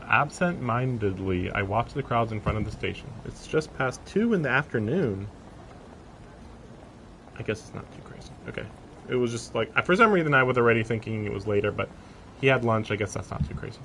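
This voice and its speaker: A man's voice: on the low side, creaky, background noise. A man livestreaming a visual novel, reading the narration aloud and breaking off into casual, amused commentary.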